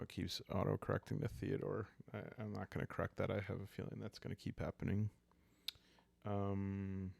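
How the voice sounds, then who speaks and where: A man calmly narrating a solo role-playing game in a hushed, relaxing way, musing aloud as he goes. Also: deep voice, gravelly.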